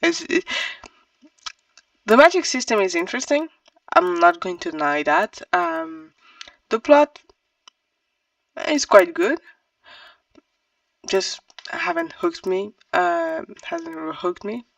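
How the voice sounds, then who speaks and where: A woman's voice: sits high, big pitch swings, slow pace, creaky, pauses often, a bit bright, no room sound, distant mic. A woman giving a casual, opinionated book review in an informal, emphatic way.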